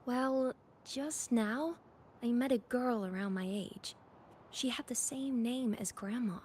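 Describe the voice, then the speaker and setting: A woman's voice: a little high, slow pace, darkish tone, a little hiss or hum. A woman playing an earnest, wistful young woman who is grieving her grandmother in a video game. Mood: wistful, uncertain.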